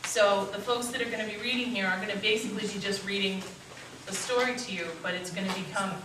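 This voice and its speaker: A woman's voice: mid-range voice, steady tone, fast talker. A woman giving an informal, grateful welcome speech as the producer introducing a live performance. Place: meeting house hall with live audience. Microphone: distant microphone. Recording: echoey hall, distant mic, noisy audience.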